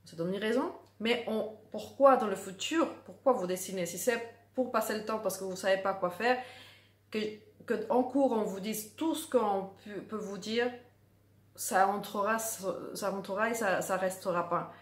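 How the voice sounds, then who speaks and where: A woman's voice: medium pitch, normal speed. A middle-aged woman, a drawing teacher, talking casually to the camera and earnestly stressing her points by repeating words. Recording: echoey room.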